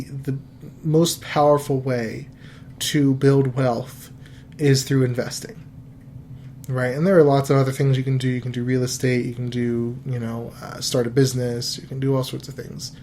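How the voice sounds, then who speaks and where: A man in his thirties hosting a podcast, giving financial advice in a relaxed, rambling way full of fillers and restarts. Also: mid-range voice, slight background noise. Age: 30s. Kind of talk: casual podcast chat.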